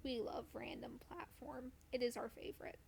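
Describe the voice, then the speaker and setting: A woman with a fairly high voice chats casually through a game stream. She is playful and dryly whimsical, sometimes dropping into soft asides. There is some noise behind her. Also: level tone, moderate pace. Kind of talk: casual chat while playing a video game on a stream. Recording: clear background noise.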